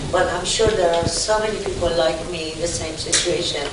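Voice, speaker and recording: A woman's voice: on the low side, steady tone, brisk, heavy background noise. A woman from Iran with an Iranian accent, asking a question from the audience, hesitant and searching for words. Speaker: audience member. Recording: distant audience mic in an echoey hall.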